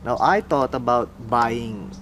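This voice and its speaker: A young Filipino man with a Filipino accent drawls through a casual vlog, unhurried and a bit mumbly. His voice sits in an ordinary middle register, and a faint hiss of background noise lies under it. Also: mid-range voice. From Philippines. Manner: slow, mumbling, musing aloud. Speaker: motorcycle vlogger. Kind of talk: casual product unboxing vlog.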